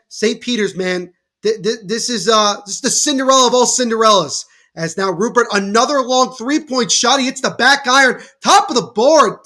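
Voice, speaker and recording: A young man from New Jersey with a stuffy cold, giving excitable play-by-play commentary on a livestream and talking to his chat. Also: sits high. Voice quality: nasal. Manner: excitable, bursts louder on big plays. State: congested from a cold.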